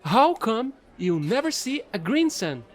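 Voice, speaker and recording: A man playing a chatty, excitable fallen alien angel who trades in space, gushing and rambling in an audio roleplay. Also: sits high, unhurried. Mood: eager, friendly. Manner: bubbly, gushing, rambling, apologetic.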